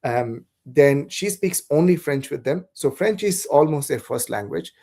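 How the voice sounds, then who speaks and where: A man's voice: a little high, animated, a little slow, gravelly, dark tone. A man from south India whose first language is Malayalam, talking as a podcast guest in a thoughtful, hesitant way with many restarts. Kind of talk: casual conversation.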